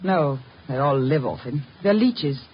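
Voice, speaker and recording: Defensive wife, sweeping dramatic pitch. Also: female voice, lively intonation, a little slow. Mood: troubled. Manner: dramatic, evasive. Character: defensive, anguished wife of a murder suspect. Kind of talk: 1950s radio drama acting. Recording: muffled, hissy 1950 radio recording.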